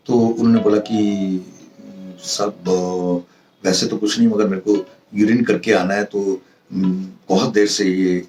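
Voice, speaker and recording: A man with an Indian accent giving an informal lesson to viewers as a professor, in a halting way that repeats phrases for emphasis. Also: on the low side, a little mellow. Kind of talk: informal instructional monologue to viewers. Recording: roomy echo, distant mic, music behind.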